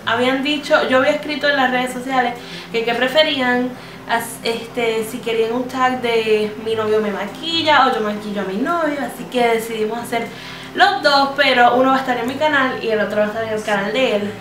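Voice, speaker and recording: A giggly young woman chats with her viewers in a beauty vlog, her voice sitting a little high. She is picked up from across a small room, so the sound is distant and echoey, with music under her. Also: brisk, a bit bright, slight background noise. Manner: chatty, giggly, speaks to viewers. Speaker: beauty vlogger. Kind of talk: casual chat. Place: small indoor room against a plain wall. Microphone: far camera. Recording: roomy, distant, music behind.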